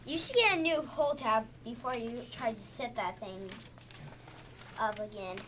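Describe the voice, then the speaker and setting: A kindly, reassuring school custodian read by a female performer in readers theatre. The voice is fairly high and bright, with animated pitch. There is faint noise in the room. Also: measured pace. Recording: slightly noisy room.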